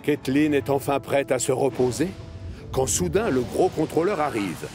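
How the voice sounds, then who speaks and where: Male narrator, children's storytelling, expressive, music bed underneath. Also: medium pitch, moderate pace. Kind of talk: scripted children's cartoon narration.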